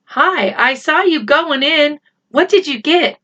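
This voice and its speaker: A woman's voice: high voice, expressive, slow, pushed and bright, voice pushed up, heavily acted. A woman playing a skeptical, sarcastic schoolboy in a storybook reading, with a challenging manner. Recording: slightly dull, lo-fi home recording.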